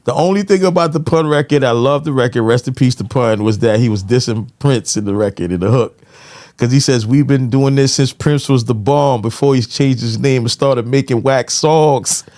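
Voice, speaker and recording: A man's voice: mid-range voice, normal speed, a little mellow, no room sound, clean studio sound. A man, hosting a casual podcast and telling laid-back stories with lots of "you know what I'm saying". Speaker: podcast host and producer.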